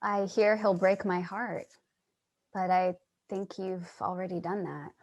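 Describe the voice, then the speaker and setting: A woman's voice: mid-range voice, steady tone, unhurried. A woman acting a compassionate, earnest writer who listens and narrates in a staged play reading. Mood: gentle, sympathetic. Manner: earnest, sympathetic. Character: compassionate, earnest writer interviewing homeless people, narrating to audience. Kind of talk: dramatic dialogue and monologue in a staged play reading. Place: online video call reading.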